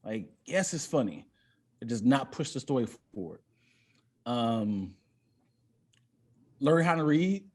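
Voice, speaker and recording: A man's voice: medium pitch, big pitch swings, slow pace, pauses often, a little mellow. A man talking as a comedian guest on a podcast, casual and profane, often repeating words as he finds his way into a sentence.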